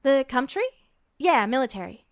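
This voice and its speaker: A woman's voice: high-pitched, very animated, moderate pace, no room sound, clean studio sound. A woman voicing a brash, irritable young man in story dialogue, casual and blunt.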